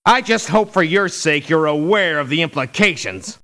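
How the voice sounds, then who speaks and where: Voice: medium pitch, lively intonation, normal speed, pushed and bright. An actor voicing a principled, picky mercenary doctor in a video game, speaking formally and precisely with dry disdain. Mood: stern, disdainful. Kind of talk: video game character voice lines.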